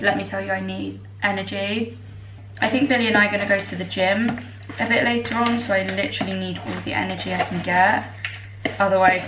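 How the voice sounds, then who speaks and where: A young woman in her twenties, tired and a little croaky, chatting in a bubbly way to her camera while she bakes in her home kitchen. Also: mid-range voice, fairly bright tone, slight background noise. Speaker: vlogger. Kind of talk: casual chat. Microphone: far camera. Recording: echoey kitchen from far off.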